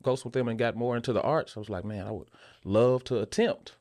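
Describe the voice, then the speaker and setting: A man's voice: mid-range voice, fairly quick, darkish tone. A man talking casually as a guest in an interview. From Memphis, United States. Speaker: interview guest, actor and filmmaker.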